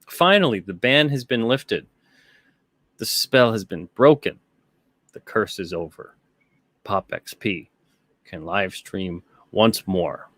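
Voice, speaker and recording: A man's voice: lowish voice, expressive, slow, long pauses, fairly bright tone, no echo. A man hosting a live-stream show, chatting casually in a rambling, repetitive way and reading out comments. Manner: rambling, conversational, repeats himself.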